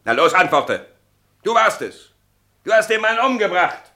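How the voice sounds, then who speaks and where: An actor playing a brusque army captain in a German radio play, questioning curtly and with authority. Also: male voice, high-pitched, very animated, normal speed, heavy background noise. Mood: barking, impatient. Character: brusque, commanding army captain interrogating witnesses.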